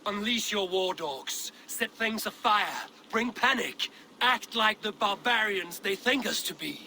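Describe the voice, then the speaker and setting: Bright, forceful Viking warrior. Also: male voice, high voice, creaky, slight background noise, exaggerated voice, poor audio. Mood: commanding. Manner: measured, commanding, dry. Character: stern, dry, steadfast Viking warrior. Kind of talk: video game acting.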